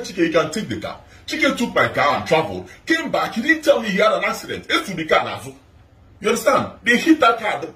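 A man's voice: on the high side, a bit bright. A middle-aged man with a Nigerian accent and a big voice, pressing his point in a heated argument at home, repeating his questions. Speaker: husband in a couple video. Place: indoors at home. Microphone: phone camera in a split-screen video. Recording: echoey, from a distance.

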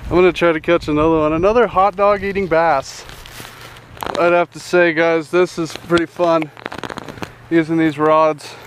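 A young man hosting a casual fishing vlog outdoors at a rural pond, chatty and excited, calling everyone "dude" and "guys", recorded on a head-mounted action camera. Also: a little high, normal speed, slight background noise. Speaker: fishing channel host.

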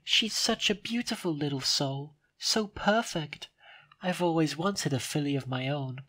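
A man voicing a gentle, motherly young female pegasus pony who speaks softly and dreamily. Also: fairly high pitch, a little slow. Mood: dreamy. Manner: soft, dreamy, soothing. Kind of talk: storybook reading.

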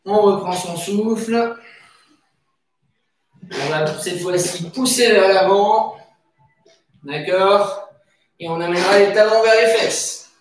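Guy coaching a workout, slow pace, super echoey room, mic far off. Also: high-pitched, a little hiss or hum. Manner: short, energetic cues while exercising. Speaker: fitness instructor. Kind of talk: exercise class instruction with counted repetitions. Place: indoors, bare room with wooden floor. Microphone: far camera microphone. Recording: echoey room, distant microphone, music behind.

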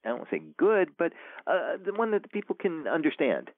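A man's voice: on the high side, big pitch swings, quick pace. A man, an author guest, chatting casually in an interview, rambling with lots of fillers and restarts. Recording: tinny phone line, dry.

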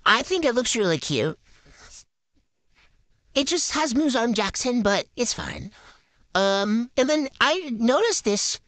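A teenage girl chatting casually while unboxing a haul, gushing and excitable with lots of fillers. Her voice runs through a voice changer effect, recorded on a handheld phone in her bedroom. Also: a little high, slow, long pauses, dry recording. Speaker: vlogger showing a haul.

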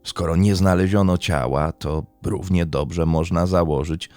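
A man narrating a scripted true-crime documentary in a measured, serious way. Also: deep voice, a little mellow, no echo, soft background music.

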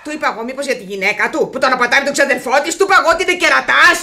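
A woman's voice: sits high, lively intonation, gravelly, pushed and bright, heavily acted. A woman playing a domineering, self-pitying wife and pushy mother in a Greek radio theatre farce, insistent and melodramatic. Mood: indignant. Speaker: actress in a radio comedy.

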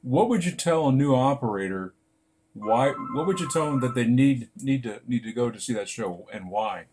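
Older male host, halting and encouraging, laptop call sound from a bright room. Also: medium pitch, animated, noisy background. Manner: halting, with many restarts and fillers, supportive. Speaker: interviewer. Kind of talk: casual interview over video call.

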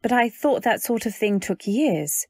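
Genteel young wife in radio drama. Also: female voice, fairly high pitch, animated, darkish tone, husky, no room sound, clean studio sound, full character voice. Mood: curious, troubled. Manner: genteel, earnest, measured. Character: polite, timid young new wife narrating her story. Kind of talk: old-time radio drama, first-person narration and dialogue.

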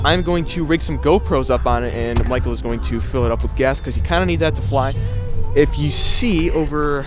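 A man in his twenties chatting casually to camera as a vlogging pilot. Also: medium pitch. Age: 20s. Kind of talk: casual vlog chat. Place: outdoors on an airfield and in an office. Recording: loud music over on-location speech.

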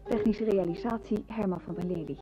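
A woman's voice: medium pitch, brisk, over loud music, poor audio. A woman announcer formally reading scripted radio play credits.

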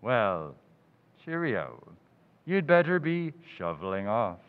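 An actor playing a pompous, smug middle-aged undertaker in a 1940s-style radio comedy, drawn-out and punning. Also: male voice, fairly low pitch, very animated, slight background noise. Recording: tinny, reverberant lo-fi audio.

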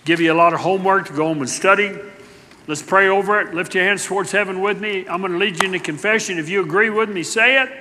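A middle-aged male pastor preaching with a high, lively voice and a very bright, forceful edge. He moves between loud, emphatic declarations and quiet, hushed asides. His words ring out in a church sanctuary with a long, echoing reverb. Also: fast, slight background noise. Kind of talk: sermon.

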